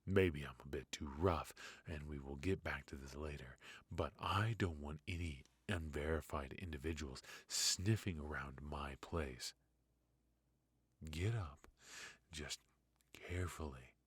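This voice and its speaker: A man playing a ruthless but protective mafia boss in an intimate noir roleplay, murmuring close to the mic with hesitant pauses and quiet menace. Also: extremely low pitch, husky, no echo, heavily acted. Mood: apologetic, controlled. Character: brilliant, ruthless male mafia boss, possessive yet protective. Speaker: voice actor. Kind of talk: noir ASMR roleplay, intimate dramatic monologue. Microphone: close studio mic, dry.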